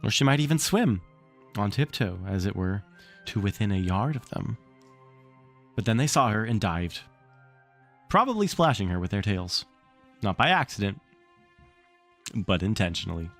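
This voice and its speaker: A man reading a children's story aloud on a livestream in an expressive, theatrical way, with casual asides to the chat. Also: fairly low pitch, moderate pace, pauses often, faint music behind.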